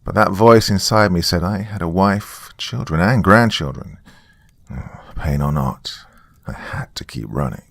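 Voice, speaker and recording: A man with a very deep voice narrates a dramatic first-person tale. His delivery is deliberate and folksy, and the recording is dry and close. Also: expressive, creaky, dry recording.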